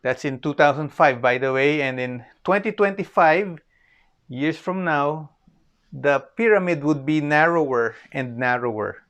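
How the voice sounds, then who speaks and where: A man's voice: a little high, measured pace, a bit bright. A man with a Filipino accent giving an informal explanatory history lecture, repeating phrases for emphasis and often checking in with "okay". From Philippines.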